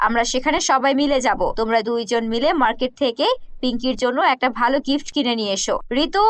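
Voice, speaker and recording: A woman's voice: on the high side, quick pace, no echo, voice pushed down, close to an everyday voice. Woman playing a firm, caring cartoon mother. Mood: coaxing.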